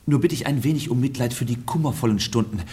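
Guy doing a lovesick gentleman suitor in a radio play, mid-range voice, courtly and kinda plaintive. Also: mid-range voice, fast, natural voice. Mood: tender, plaintive. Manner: courtly, flowery. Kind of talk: theatrical radio play acting.

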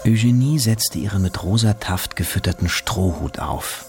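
Very low male narrator over music. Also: animated, normal speed, darkish tone. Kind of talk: scripted radio drama narration.

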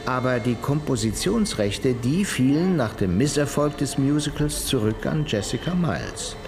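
An actor playing a talkative, cynical musical producer in a radio crime drama, telling things expansively. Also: male voice, mid-range voice, lively intonation, moderate pace, over music, close to an everyday voice. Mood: businesslike.

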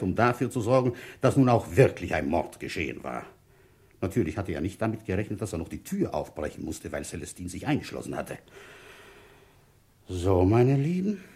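A man playing a shrewd, wry village police inspector in a radio play, deliberate and brooding as he tells his case. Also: fairly low pitch, a little mellow, slightly rough, exaggerated voice. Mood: firm, accusing. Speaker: narrator and lead actor. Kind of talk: radio play, first-person detective narration.

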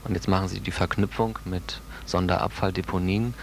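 A man's voice: sits low, steady tone, brisk. A man talking through a task in an explanatory conversation, matter-of-fact in manner. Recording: clearly noisy room ambience.

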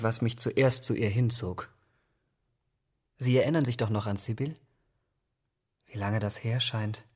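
Brooding young gentleman, impulsive, troubled, mid-range voice, radio play. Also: medium pitch. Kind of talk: German radio drama acting.

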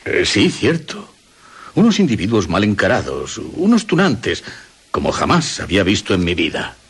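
A man's voice: fairly low pitch, wildly swooping pitch, normal speed, husky, exaggerated voice. An actor playing a wry, genteel older father and master of a castle in a radio drama. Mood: wry, disapproving.

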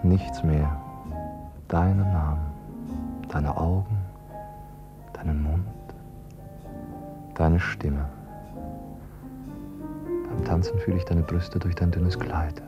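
A man's voice: sits low, steady tone, slow, long pauses. An actor playing a tender, infatuated, tipsy man murmuring to his lover in a 1950s German radio play. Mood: enraptured. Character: infatuated, tipsy, dreamy male lover in a bar. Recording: dull 1950s radio recording with guitar music. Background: plucked guitar music.

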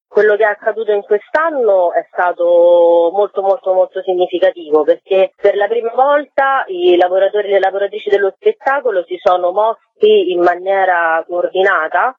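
A tinny, dry phone connection carries a woman's voice at a middling pitch. She answers as an activist guest in a radio interview, earnest and explanatory, leaning on repeated words for emphasis. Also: lively intonation, normal speed, dry recording. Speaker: activist guest, performing-arts worker. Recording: tinny narrowband phone line.

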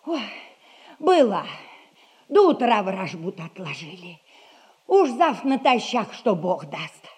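An actress playing a chatty, fussy old servant woman with a husky voice, sighing folksily in a theatrical audio comedy. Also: medium pitch, very animated, unhurried, pauses often, a little mellow, full character voice. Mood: flustered, chatty. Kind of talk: classic Russian stage comedy acting, audio play.

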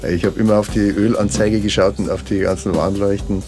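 A man's voice: on the low side, fairly even intonation, brisk, over music. A man chatting and telling stories in a casual way. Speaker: band musician. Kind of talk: casual conversation and storytelling.

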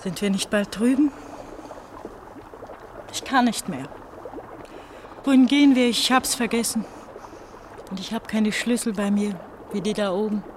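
Woman acting pastor's young runaway daughter, anguished, halting, brooding, radio drama, medium pitch, slight noise. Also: natural voice. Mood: desperate. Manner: brooding, halting monologue with repeated phrases. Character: anguished eighteen-year-old pastor's daughter on the run.

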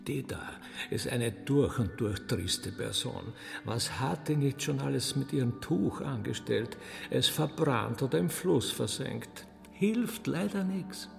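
Gossipy Austrian courtier, creaky voice. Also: male voice, medium pitch, lively intonation, takes it slowly, heavily acted. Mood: dry gossip. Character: gossiping, courtly sardonic male master of ceremonies. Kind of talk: radio play acting. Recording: long hall reverb, music underneath.

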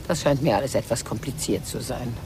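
An actress playing a haughty, disdainful middle-aged Parisian madame in a radio crime drama, with a husky, breathy voice and theatrical delivery. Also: female voice, a bass voice, fairly quick, noisy background. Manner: haughty, theatrical, swooping between indignation and hushed tones. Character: haughty mature Parisian bourgeois madame, disdainful, betrayed wife.

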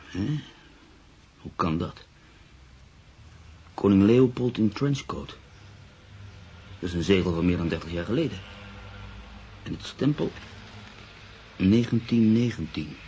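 A man playing a proud, brooding newspaper writer in a radio drama, with measured, literary delivery. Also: lowish voice, expressive, mellow. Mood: startled. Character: proud, brooding male newspaper writer, sceptical and easily unsettled. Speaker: actor. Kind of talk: radio drama acting, monologue and narration. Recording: radio play with clear background noise.

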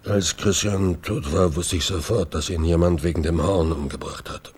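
A man's voice: low voice, steady tone, normal speed, a little mellow, slight background noise, natural voice. An actor in a radio play playing a drunken, bitter ex-policeman, coarse and self-mocking, drawling with sudden outbursts. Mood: boastful, bitter. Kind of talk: German radio play acting.

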